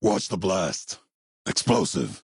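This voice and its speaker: Rock-bottom deep, gravelly, brooding wasteland antagonist. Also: male voice, darkish tone, no room sound, studio quality, exaggerated voice. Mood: cold, challenging. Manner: slow, solemn, terse, cryptic pronouncements. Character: grim, vengeful male wasteland courier, brooding philosophical antagonist. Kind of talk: video game character dialogue.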